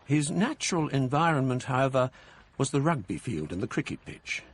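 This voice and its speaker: An actor narrating as a scholar recalling his youth, measured, wry and reflective. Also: mid-range voice, big pitch swings, a little hiss or hum. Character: older male scholar narrating his past. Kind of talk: radio drama narration.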